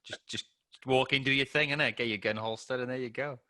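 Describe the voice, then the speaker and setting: A man's voice: mid-range voice, steady tone, fast talker, sharp tone, no room sound, studio quality. A man with a Welsh accent co-hosting a casual film review chat, informal and a little hesitant. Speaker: film review show co-host.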